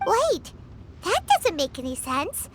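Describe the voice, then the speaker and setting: Small ancient creature, very high-pitched, lively intonation, cheeky, blunt, video game companion. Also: female voice, slow pace, heavy background noise, exaggerated voice. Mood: worried, confused. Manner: blunt, animated. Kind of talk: video game character acting.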